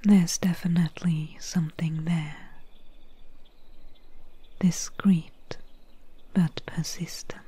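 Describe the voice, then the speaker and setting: Woman playing a whispering, very slow swamp witch. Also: fairly low pitch, fairly even intonation, no echo, full character voice. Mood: hushed, knowing. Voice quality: whispery, breathy. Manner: slow, hushed, unhurried. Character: calm, mysterious female swamp witch healer. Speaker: ASMR roleplay performer. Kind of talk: soft-spoken ASMR roleplay. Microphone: close mic.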